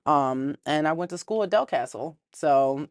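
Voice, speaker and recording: A woman's voice: low voice, lively intonation, unhurried, no room sound, clean studio sound. A young woman from Wilmington, Delaware, a community organizer talking earnestly in a casual interview and laughing often.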